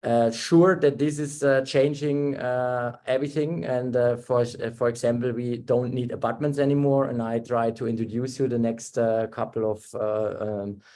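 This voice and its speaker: A German-born man talks through an online webinar in careful, halting English. He fills his pauses with plenty of ums and uhs and often restarts his sentences. His voice sits at an ordinary middle pitch for a man, picked up by a computer mic on a video call. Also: fairly even intonation, warm tone. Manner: halting, many fillers and restarts. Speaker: webinar presenter, dental technician.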